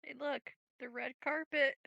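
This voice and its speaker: A woman's voice: high-pitched, steady tone, moderate pace, creaky, pushed and bright, no room sound, muffled recording. A woman chatting casually as a tabletop game player, with a wry, joking manner.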